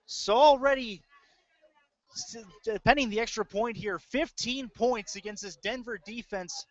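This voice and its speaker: A man's voice: very high voice, a little slow, dry recording. An American man working as a play-by-play announcer, giving live commentary on a football game. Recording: dull, lo-fi webcast audio.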